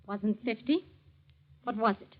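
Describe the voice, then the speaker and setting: Woman acting a high-pitched, demanding radio-drama wife. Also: unhurried, natural voice. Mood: pressing. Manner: dramatic, pointed questioning. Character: demanding, quick-to-bristle wife, doting then indignant. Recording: muffled vintage 1940s radio recording.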